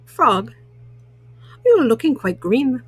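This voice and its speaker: A woman's voice: high voice, sing-song, slow, husky, heavily acted. A woman voicing a well-meaning, fretful male toad from a storybook, earnest and a little fussy. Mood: concerned.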